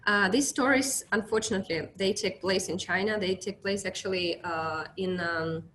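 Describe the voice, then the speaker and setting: A woman's voice: mid-range voice, normal speed, a bit bright, a little hiss or hum. A young woman from Russia with a Russian accent, presenting a business webinar as an account manager, speaking hesitantly with many fillers and restarts.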